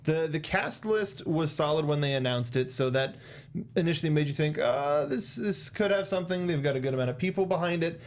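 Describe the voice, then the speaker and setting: A young man hosting a movie discussion, talking casually with halting, repeated words into a close studio microphone. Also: on the high side. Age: young adult. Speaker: show host. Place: small indoor podcast studio. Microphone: close studio microphone with foam cover, headset monitoring.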